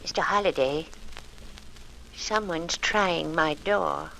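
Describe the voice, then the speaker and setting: An actress playing an excitable, meddlesome little old lady amateur sleuth in a 1940s radio drama, gushing and eager. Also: deep voice, slow pace, gravelly, heavily acted. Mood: worried. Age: older. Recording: dull, noisy 1940s radio recording.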